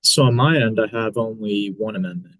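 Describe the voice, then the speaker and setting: A man on committee staff reading bill amendments in a measured, precise way. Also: on the low side, a bit bright, dry recording, rough recording. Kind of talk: reading legislative text.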